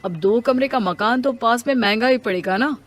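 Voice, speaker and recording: A woman voicing a put-upon, evasive young city husband in an animated story. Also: on the high side, normal speed, natural voice. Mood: worried. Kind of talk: animated storybook character acting.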